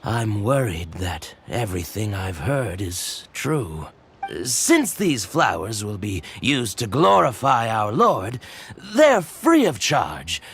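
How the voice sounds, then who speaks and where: A man's voice: fairly low pitch, big pitch swings, slow, fairly bright tone, slight background noise, exaggerated voice. A man voicing a devout, generous market shopkeeper in a video game, deferential and effusive. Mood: reverent, concerned.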